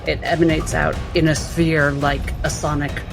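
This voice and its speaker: A woman's voice: low-pitched, level tone, moderate pace, gravelly, over music. A woman playing a tabletop role-playing game, narrating what her character does.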